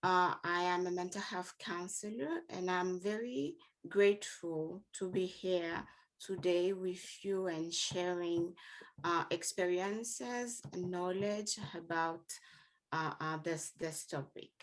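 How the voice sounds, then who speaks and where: Slow, hesitant woman counselor from Haiti. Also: fairly low pitch, low-quality recording. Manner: hesitant, with many pauses. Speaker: mental health counselor. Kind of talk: panel self-introduction.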